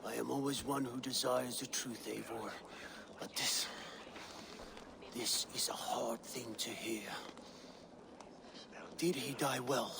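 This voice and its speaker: Gravelly, solemn Viking warlord. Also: male voice, medium pitch, fairly even intonation, noisy background. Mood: stunned grief. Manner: solemn, weighty, measured. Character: grieving Viking warlord, proud, solemn brother. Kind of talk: dramatic video game acting.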